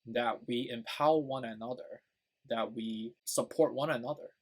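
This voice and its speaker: A young man with a Chinese accent, a native Chinese speaker, talks straight to his channel's viewers in an earnest, rambling way. He fills his sentences with "you know" and "right?" at an ordinary, mid-range pitch. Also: normal speed, slightly rough, poor audio. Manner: rambling, earnest, many fillers and tag questions. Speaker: YouTube channel host. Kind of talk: casual personal talk to camera.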